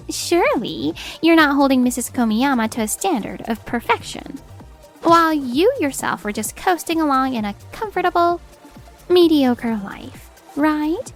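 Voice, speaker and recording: A woman playing a composed, steely attorney in a manga dub, speaking formally and with measured assertiveness. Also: sits high, lively intonation, soft background music. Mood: coolly cutting. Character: composed, steely female attorney confronting a husband. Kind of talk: acted manga dub dialogue.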